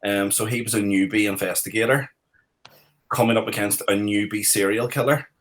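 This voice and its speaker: A man's voice: fairly low pitch, fairly even intonation, normal speed, a bit bright. A man with a Northern Irish accent, speaking as a crime writer on a panel, thoughtful and hesitant with frequent restarts. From Northern Ireland. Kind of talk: panel discussion.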